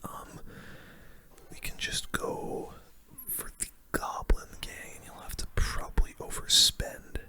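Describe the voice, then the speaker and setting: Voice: takes it slowly, bright, forceful tone. A person whispering informal, slangy ASMR commentary over gameplay as the host of a gaming video.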